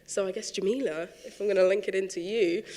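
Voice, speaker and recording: Flat-voiced male moderator in a theatre. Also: high voice, quick pace, long reverb tail, rough recording. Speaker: panel moderator. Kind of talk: moderating a panel talk. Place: large theatre stage.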